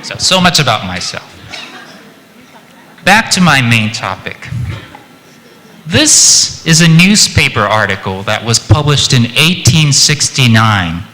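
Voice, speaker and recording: A Japanese man with an American-influenced accent giving a chatty, lively conference presentation and joking with the audience. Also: medium pitch, big-room sound, poor audio. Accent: American-influenced English. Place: conference hall.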